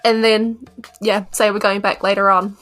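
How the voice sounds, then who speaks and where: A woman's voice: mid-range voice, level tone, normal speed, a bit bright, soft background music. A woman with a New Zealand accent, talking casually as a player improvising in a tabletop game. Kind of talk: casual improvised roleplay chat.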